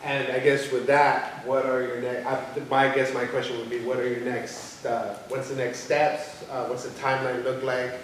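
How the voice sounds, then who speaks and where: A man's voice: mid-range voice, level tone, normal speed, hardly any pauses. A man moderating a discussion and asking an audience for opinions. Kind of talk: taking audience questions. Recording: echoey hall, distant mic, noisy.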